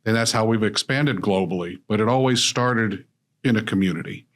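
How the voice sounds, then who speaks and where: A man's voice: fairly low pitch, normal speed. A middle-aged man from Illinois, an author and business coach, speaking steadily and reflectively as a podcast guest. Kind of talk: conversational interview.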